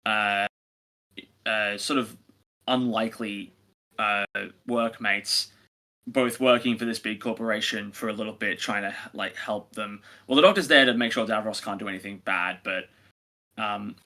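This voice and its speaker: A man co-hosting a casual review discussion, talking in a halting way as he thinks aloud. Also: fairly low pitch, bright, forceful tone. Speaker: podcast co-host reviewing audio drama.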